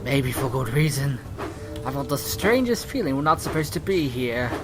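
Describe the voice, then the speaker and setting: A man's voice: medium pitch, animated, moderate pace, over music, lightly acted. A man playing a good-natured, cautious steam engine crewman in a children's audio drama, speaking in a reassuring, chatty way. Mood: uneasy.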